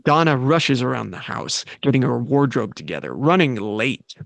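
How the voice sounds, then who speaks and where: Brief: male table-read narrator, gravelly voice, dry close sound, reads directions plainly. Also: medium pitch, sing-song, no room sound. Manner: plain, quick read with slight stumbles. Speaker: stage direction reader. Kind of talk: reading screenplay directions.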